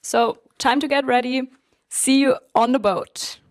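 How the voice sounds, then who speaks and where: A woman's voice: high voice, fairly even intonation, unhurried. German-accented woman in her thirties. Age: early 30s. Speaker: vlogger. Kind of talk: casual vlog talk to camera.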